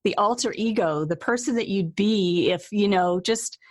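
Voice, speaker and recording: A woman's voice: mid-range voice, moderate pace. Woman chatting as interview host. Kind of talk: casual interview chat.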